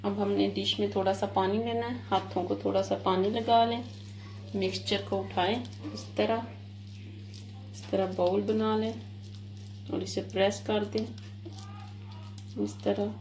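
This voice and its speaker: A woman of medium pitch with a Pakistani Urdu accent talks viewers through a recipe in her home kitchen, calm and patient as she points out each step. Dishes and pans clatter clearly behind her voice. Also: a little slow, pauses often, slight background noise. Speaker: home cooking channel host. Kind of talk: step-by-step cooking instructions.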